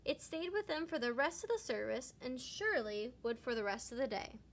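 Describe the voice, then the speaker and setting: A woman reading a children's story aloud, lingering deliberately on certain words. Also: high-pitched, big pitch swings, slight background noise. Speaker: storybook reader. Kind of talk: children's read-aloud narration.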